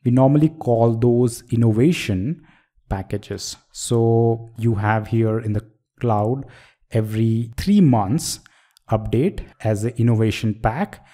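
Man explaining over slides, mellow tone. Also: medium pitch, slow. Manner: explanatory, asks the viewer questions, frequent "so". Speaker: software trainer. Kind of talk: screen-recorded tutorial lecture.

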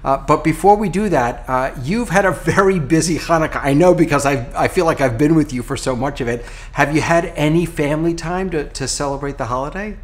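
A middle-aged American man, a rabbi, hosting a relaxed podcast conversation over a video call from his home office, talking in an animated way with frequent restarts. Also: fairly high pitch, brisk, few pauses. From United States.

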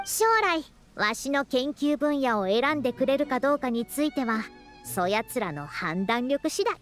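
A youthful game scholar with a high, very animated voice. She is proud and self-important but caring, and phrases everything like an old-fashioned elder. Soft music plays behind her. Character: proud, youthful-sounding woman scholar who speaks like an elderly senior. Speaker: scholar and teacher. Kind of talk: Japanese anime-style video game voice acting.

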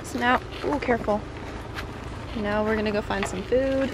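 A woman's voice: fairly high pitch, steady tone, normal speed. A woman in her thirties chatting casually to camera and to her small child as she vlogs outdoors. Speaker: vlogger mother hiking with her young daughter. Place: outdoors on a wooded rocky trail. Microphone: handheld camera at arm's length. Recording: noisy outdoor handheld camera audio. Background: outdoor ambient noise.

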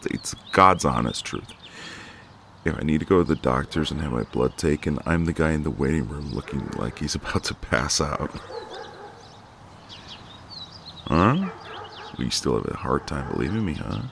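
A man playing a flirty, cocky but gentle ex-marine neighbour in an intimate ASMR roleplay, teasing in a folksy way. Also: extremely low pitch, expressive, moderate pace, vocal fry, background noise, exaggerated voice. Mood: gentle, confiding.

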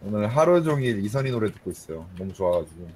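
A man's voice: lowish voice, big pitch swings, darkish tone. A man in his twenties chatting casually and teasing his friends while playing an online game together. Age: 20s. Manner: playful, teasing, quick back-and-forth. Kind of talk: casual gaming chat.

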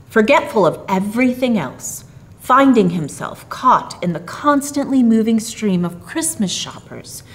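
A middle-aged woman reading a story aloud in expressive, theatrical narration with drawn-out emphasis. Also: medium pitch. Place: small dark theatre stage, indoors.

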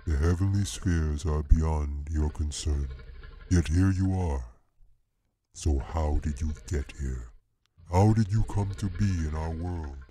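A man doing a deep rumbling bear king. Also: warm tone, husky, heavily acted. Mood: stern. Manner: slow, solemn and commanding. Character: huge deep-voiced male bear king, stern.

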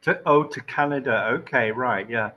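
An Englishman in his sixties with a southern London-like accent, patiently tutoring English over a headset video call, speaking slowly and clearly. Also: mid-range voice, dull audio. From England. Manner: slow, clear, patient corrections. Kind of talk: casual video call lesson.

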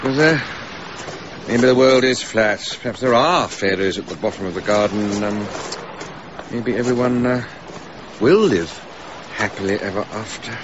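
An actor playing a courteous, slightly pompous former army colonel in a radio drama, with a British accent and a fussy, hesitant manner. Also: male voice, on the low side, a little slow, lo-fi sound, background noise, close to an everyday voice. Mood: musing.

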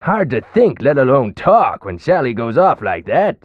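Folksy, wildly animated diner gal. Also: female voice, a bass voice, moderate pace, no room sound, narrow, tinny audio, heavily acted. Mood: wry, exasperated. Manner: folksy, wry. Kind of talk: video game character dialogue.

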